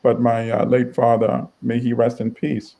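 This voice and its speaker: A middle-aged man from Uganda, a publisher telling his story in an interview in a measured, wry way with frequent restarts. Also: medium pitch, monotone, gravelly, dull audio.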